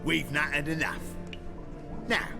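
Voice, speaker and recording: Fantasy game gangster: high creaky voice, swaggering, theatrical, foul-mouthed. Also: male voice, steady tone, slow, over music. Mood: mocking. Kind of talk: video game voice acting.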